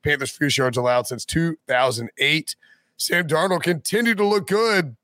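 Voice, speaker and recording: A man with a raspy, worn voice talks through football scores and point spreads as a podcast co-host. The sound is dry and close, with no room around it. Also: on the high side, moderate pace, pushed and bright, no room sound. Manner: rattles off scores and betting lines. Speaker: podcast co-host, sports betting analyst. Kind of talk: casual sports podcast chat.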